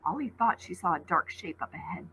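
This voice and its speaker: A woman teacher reading a novel aloud to her class, in an expressive storytelling manner. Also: a little fast, gravelly, slight background noise. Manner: expressive read-aloud, voices rising high on called names.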